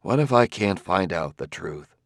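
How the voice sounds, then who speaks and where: Man playing a low-voiced, weary, dogged investigator. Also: normal speed, no room sound, studio quality, close to an everyday voice. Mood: resigned, worried. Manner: blunt, resigned, earnest. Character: weary, dogged male investigator from Earth, troubled but tender.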